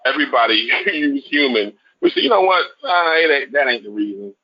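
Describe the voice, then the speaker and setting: A man's voice: medium pitch, big pitch swings, brisk, breathy edge. A man giving a casual customer testimonial as a call guest, folksy and colloquial, over a lo-fi phone line.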